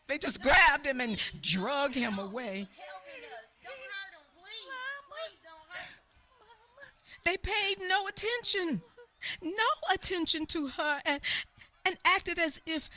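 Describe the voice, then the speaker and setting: A woman playing a grieving eyewitness in a radio theater monologue, telling her story haltingly and repeating phrases for emphasis. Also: medium pitch, sharp tone. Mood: indignant. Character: grieving female eyewitness recounting a mob lynching in anguish.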